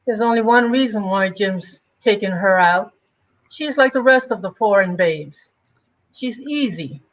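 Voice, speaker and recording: A woman's voice: medium pitch, expressive, unhurried, close to an everyday voice, rough recording. Woman playing a swooping, catty young socialite gossip. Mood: catty, sneering. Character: catty young society friends, gossipy and snobbish. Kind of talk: amateur dramatic reading of a 1950s romance comic.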